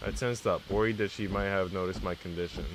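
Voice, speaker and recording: A man's voice: low voice, steady tone, a little fast, a little hiss or hum, poor audio. A man live-streaming, reading a visual novel aloud and chatting casually with viewers in a slangy, teasing way.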